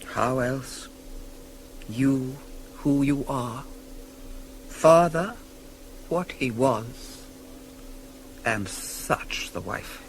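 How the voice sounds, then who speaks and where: An actor playing a cold, stern deity in a classical radio tragedy, speaking in a solemn, measured, incantatory way. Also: male voice, mid-range voice, low-quality recording. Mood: stern, reproachful. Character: cold, stern deity, aloof from human grief. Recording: hissy 1980s cassette of radio play.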